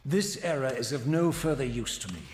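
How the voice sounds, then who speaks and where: An actor playing a tormented wraith in a video game, bitter, defiant and sardonic, with formal theatrical diction. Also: male voice, medium pitch, lively intonation, moderate pace, background noise, natural voice. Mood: contemptuous. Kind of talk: dramatic video game cutscene acting. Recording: echoey hall reverb with music behind.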